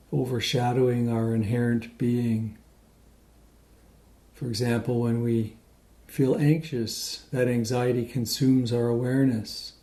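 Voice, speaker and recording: A man leading a guided meditation in a gentle, unhurried way with many pauses. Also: medium pitch. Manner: slow, pausing, gentle delivery.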